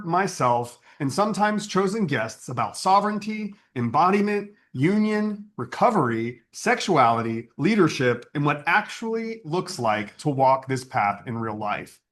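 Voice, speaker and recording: A man leading an online spiritual service, speaking in an earnest, measured, solemn way as he reads a prepared address. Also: on the high side, very animated, fairly bright tone.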